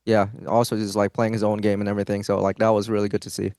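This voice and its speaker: A man's voice: on the low side, completely flat delivery, quick pace, darkish tone, no room sound, clean studio sound. A young man, a pro esports player, answering interview questions in a hesitant way, with many fillers and restarts. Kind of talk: post-match interview answers.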